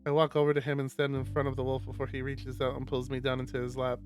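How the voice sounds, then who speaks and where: A man's voice: medium pitch, monotone, quick pace. A man streaming a visual novel, reading first-person fiction aloud. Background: guitar music.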